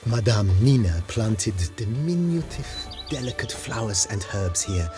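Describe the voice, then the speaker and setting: Formal, lilting estate majordomo. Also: male voice, on the low side, sing-song, unhurried. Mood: storytelling, wistful. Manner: formal, precise, politely ornate. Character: courteous, formal, proud hereditary majordomo of a vineyard estate. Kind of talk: scripted game dialogue, giving a guided tour. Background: music.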